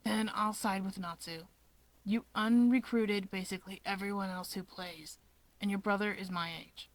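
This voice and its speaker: Woman as a quiet, halting, insecure young man. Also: medium pitch, steady tone, no echo, close to an everyday voice, rough recording. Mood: quiet, tentative.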